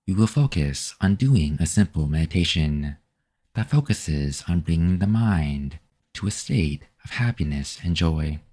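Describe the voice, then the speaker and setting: A man leading a guided meditation in a calm, measured, soothing manner. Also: sits low. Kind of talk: slow guided meditation narration.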